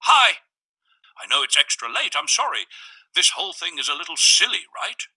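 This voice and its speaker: A man's voice: fairly high pitch, sing-song, normal speed, pushed and bright, slightly rough, pitched above the performer's own voice, full character voice, rough recording. A man putting on a chirpy, pushy stranger. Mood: friendly. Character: overly cheerful young man, pushy and unhinged. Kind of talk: storybook character voice.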